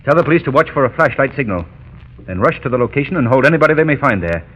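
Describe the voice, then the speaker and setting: An actor playing a commanding, urbane gentleman sleuth, decisive in manner, in a 1930s radio drama. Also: medium pitch, expressive, rapid-fire, highs cut off, close to an everyday voice. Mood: commanding, resolute. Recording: faintly noisy old 1930s radio recording.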